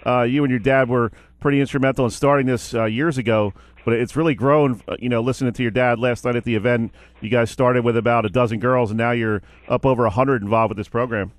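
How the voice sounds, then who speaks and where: A man's voice: mid-range voice, steady tone, quick pace, hardly any pauses, no room sound. A man hosting a sports radio show, asking chatty questions with frequent fillers and restarts. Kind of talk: casual radio interview. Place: radio studio.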